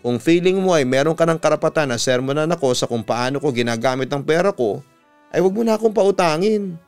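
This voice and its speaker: Male storyteller as young Filipina woman, defensive, irritated, snobbish, clear smooth tone, audio drama storytelling, music behind. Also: on the high side, expressive, quick pace, a little mellow. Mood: irritated, snappish. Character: defensive, snappish young woman who loves luxuries.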